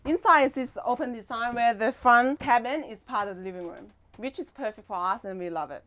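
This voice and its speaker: A woman's voice: fairly high pitch, brisk, bright, forceful tone. A young woman giving a casual guided tour as a host, speaking into a clip-on mic inside a small boat cabin. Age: young adult.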